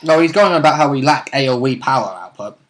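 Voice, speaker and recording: A man's voice: mid-range voice, animated, fast, fairly bright tone. A man chatting casually as a player in a tabletop role-playing game. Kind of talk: casual chat.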